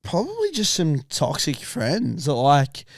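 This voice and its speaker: A young man with a raspy voice, co-hosting a podcast in casual chat, swearing and laughing through lively stories. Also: fairly high pitch, measured pace, no echo, clean studio sound. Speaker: podcast co-host. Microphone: studio podcast mic.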